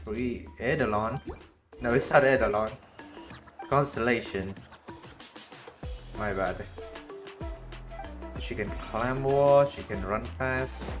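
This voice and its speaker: A woman's voice: extremely low pitch, takes it slowly, long pauses, soft background music. A woman streamer making casual, slangy, joking reaction comments over a game.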